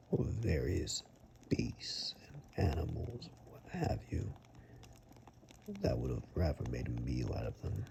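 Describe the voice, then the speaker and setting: Gravelly, hushed, low-voiced woodsman acted by a man. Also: exaggerated voice. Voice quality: low, gravelly, breathy half-whisper. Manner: slow, deliberate, matter-of-fact reassurance with pauses. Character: gruff, protective male monster-hunting woodsman rescuer. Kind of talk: ASMR roleplay, soft-spoken and half-whispered.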